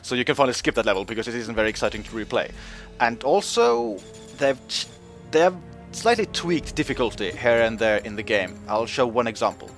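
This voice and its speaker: A man's voice: mid-range voice, big pitch swings, a little fast, hardly any pauses, fairly bright tone, soft background music. A man giving casual commentary over game footage, talking in a chatty way with lots of fillers and voice jumps when joking. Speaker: gaming video host.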